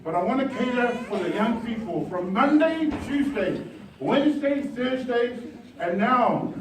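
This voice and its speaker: A male pastor with a high voice preaches a revival sermon, drifting between quiet remarks and rousing calls to the congregation. He sounds distant from the microphone, and the hall rings with echo. Steady background noise sits underneath. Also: measured pace. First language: Samoan. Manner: rousing, swings from soft asides to shouted exhortation. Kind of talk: revival sermon with bilingual asides. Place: church hall.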